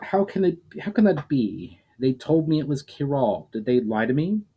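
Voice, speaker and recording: A man's voice: medium pitch, very animated, moderate pace, slightly rough, lo-fi sound, voice pushed up. A man reading a story aloud, voicing an earnest adult male elf leader who keeps pressing with questions. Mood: earnest questioning.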